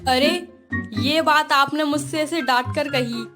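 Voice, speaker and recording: A weary young daughter-in-law, acted by a woman, speaks in a high, pleading voice. She sounds patient but fed up in a comedy sketch, with music clearly behind her. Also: fairly even intonation, measured pace. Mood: reproachful. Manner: pleading, reproachful.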